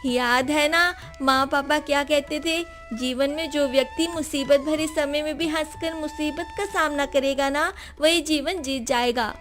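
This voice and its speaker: Lady doing a brave little poor girl in a cartoon, really high voice, earnest, music behind. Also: fairly even intonation, measured pace, few pauses, fairly bright tone. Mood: reassuring, determined. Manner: earnest, reassuring. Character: brave, hopeful, caring poor orphan girl. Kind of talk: animated moral story voice acting.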